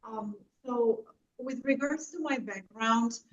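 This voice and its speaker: A woman's voice: fairly high pitch, takes it slowly, muffled recording. Roomy, distant-sounding woman guest. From Bulgaria. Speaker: interview guest, security expert and author. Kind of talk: earnest account of own background. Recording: roomy, distant mic with faint noise.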